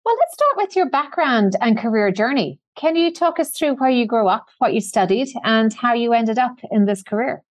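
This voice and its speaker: A woman's voice: a little high, lively intonation, moderate pace, few pauses, no room sound. Polished podcast host, woman, dry close recording. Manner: polished and composed. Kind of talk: scripted podcast introduction and interview questions.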